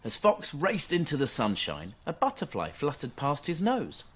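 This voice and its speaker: A man reading a bedtime story to young children in an expressive storytelling style. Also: on the high side, normal speed, husky.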